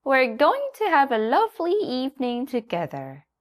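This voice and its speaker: A woman voicing Nanny Hen, a fussy but kindly old hen babysitter, in a high sing-song storybook voice. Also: huge pitch swings, moderate pace. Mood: sweet.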